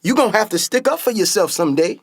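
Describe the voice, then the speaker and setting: A man's voice: sits high, animated, fast, breathy edge, no echo, studio quality, full character voice. A man playing a folksy young stunt pilot brother. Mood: exasperated. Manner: folksy Southern-style vernacular. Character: young stunt pilot older brother, bold but protective. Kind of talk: stage-style radio play acting.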